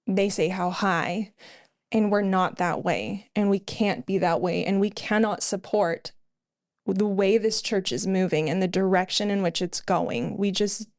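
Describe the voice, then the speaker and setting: A woman's voice: mid-range voice, measured pace, a little mellow. A middle-aged woman co-hosting a podcast, telling her personal testimony in an earnest storytelling way with frequent fillers. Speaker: podcast co-host.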